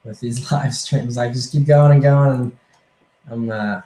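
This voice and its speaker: Slightly hoarse man in an echoey room. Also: mid-range voice, measured pace. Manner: rambling, relaxed, many fillers. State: slightly hoarse, losing his voice. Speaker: car reviewer answering viewer questions. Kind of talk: casual livestream Q&A.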